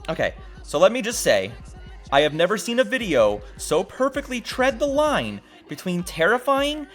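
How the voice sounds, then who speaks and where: A man's voice: sits high, sing-song, moderate pace, fairly bright tone. A man narrating a video essay as host in a wry, exclamatory, casual style with some swearing. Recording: music behind the voice. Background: background music.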